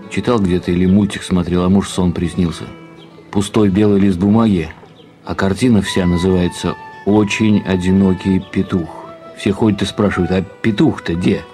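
A weary, ageing academic husband in an audio play, played by an actor, speaking in a deep voice. He muses with resigned, gentle affection like a lonely dreamer, over soft background music. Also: lightly acted. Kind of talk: audio drama acting.

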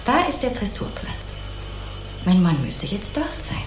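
An actress playing a kindly, naive, chatty housewife in an obliging, rambling way in a German crime radio play. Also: female voice, medium pitch, sing-song, a little slow, reverberant, music in the background. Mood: chatty, helpful. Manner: obliging, artless, rambling, sympathetic.

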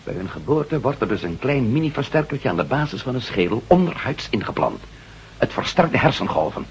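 A man's voice: medium pitch, sing-song, a little fast, vocal fry, slight background noise. An actor playing a determined, hot-tempered former astronaut in a science fiction radio drama, speaking emphatically and arguing his case. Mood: insistent. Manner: emphatic, swearing, argumentative. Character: determined, hot-tempered male ex-astronaut adventurer laying out plans. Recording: dull lo-fi radio drama recording.

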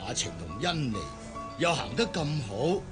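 A man playing a jovial, proud middle-aged father in a Cantonese radio drama, with a hearty, unhurried manner. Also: medium pitch, very animated, close to an everyday voice. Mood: proud, happy. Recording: music clearly under the voice.

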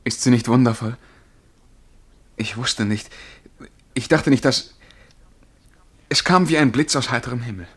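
An actor playing a nervous, ardent young amateur poet in love, with breathless, earnest delivery in a German radio drama. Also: male voice, medium pitch, unhurried, pauses often, a bit bright, slightly rough. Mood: rapturous. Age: young adult.

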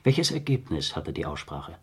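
An actor playing a gruff, sardonic police inspector in a crime radio play, questioning in a dry, brusque way. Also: male voice, rumbling low, sing-song, moderate pace, darkish tone, no room sound, exaggerated voice. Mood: dry, probing. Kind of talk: German crime radio drama acting.

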